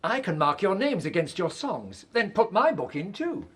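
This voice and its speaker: Husky, kindly man with very lively intonation. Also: sits high, normal speed, full character voice. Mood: gentle, reassuring. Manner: gentle, reassuring. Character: kindly, reassuring male wartime schoolteacher escorting evacuees. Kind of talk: radio play acting.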